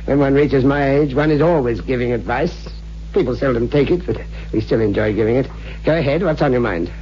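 An actor playing a kindly, sceptical older scholar in a 1960s radio drama, courteous and avuncular. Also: male voice, medium pitch, moderate pace, mellow, lightly acted. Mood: genial, pondering. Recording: dull old radio recording, faint hum.

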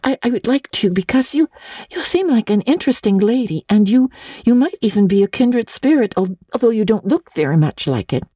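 A woman's voice: on the high side, expressive, a little fast, warm tone, breathy edge, no echo, studio quality, voice pushed up. A woman voicing an eager, earnest orphan girl in a storybook reading, talkative and polite, with breathless stammers.

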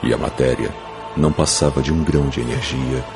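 A man's voice: a bass voice, steady tone, a little fast. A man delivering dramatic, scripted epic narration in a solemn, portentous manner. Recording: music behind the voice. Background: clear music.

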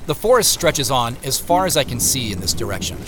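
A man with an American accent playing a reflective, wry solitary traveler who narrates an audio drama in the first person, with measured storytelling and muttered casual asides. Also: medium pitch, very animated, background noise, lightly acted. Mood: reflective, observant. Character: male solitary traveler, reflective, wryly talking to himself. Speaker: narrator and lead character. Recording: music behind the voice.

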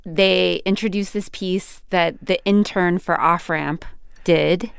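Low, smooth-voiced American woman hosting. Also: steady tone, takes it slowly, no echo, studio quality. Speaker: podcast host. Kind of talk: conversational podcast hosting.

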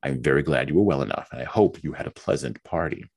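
A man reading a novel aloud, voicing a patient, sensible young woman who answers calmly and soothingly. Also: very deep voice, fast, slightly rough, exaggerated voice. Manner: calm, soothing.

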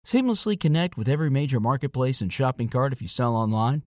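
A man's voice: medium pitch, very animated, fast, a little mellow, dry recording, clean studio sound. A man reading a scripted podcast advertisement as an announcer.

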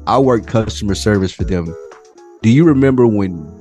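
Male podcast co-host, American, deep voice. Slangy, teasing, curses freely, asks the questions. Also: animated, moderate pace, dark tone, soft background music. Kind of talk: casual chat, asking questions.

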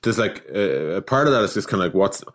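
A man with a very deep, low-pitched voice hosts a relaxed podcast chat. He asks loose, meandering questions and fills pauses with quick agreeing murmurs. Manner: relaxed, thinks aloud with fillers, short agreeing backchannels. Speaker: podcast host asking questions. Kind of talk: casual podcast conversation.

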